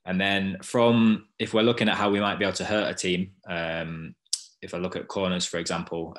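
A man's voice: low-pitched, fairly bright tone. A man who works as a set-piece analyst, explaining his method fluently with some fillers on a video call. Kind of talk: webinar explanation.

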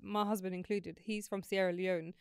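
A young woman hosting a podcast, chatting casually and telling stories in a lively, slangy way from a studio. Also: medium pitch, clean tone, no room sound. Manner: fast, animated storytelling with slang. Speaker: podcast host. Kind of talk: casual chat. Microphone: studio mic on a boom arm.

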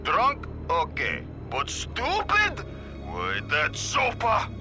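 A gullible Soviet cosmonaut in a comic video game, with a Russian accent and broken English. The voice is high and slow, theatrically indignant, with music playing behind. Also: male voice, huge pitch swings, exaggerated voice. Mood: indignant disbelief. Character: gullible, vodka-loving male Soviet cosmonaut.

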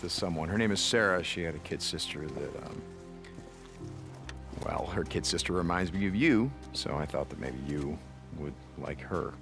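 An actor playing a gruff but protective biker drifter in a game, American, speaking in a halting, informal way. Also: male voice, low voice, expressive, music in the background. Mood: awkward, friendly. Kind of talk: video game cutscene acting.

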